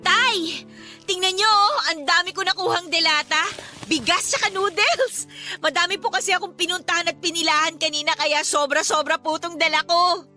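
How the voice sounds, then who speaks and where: A woman's voice: very high voice, moderate pace, sharp tone, soft background music. A woman playing a distressed, pleading teenage daughter from a poor family in a Tagalog radio drama. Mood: eager. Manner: pleading, questioning, respectful to her father.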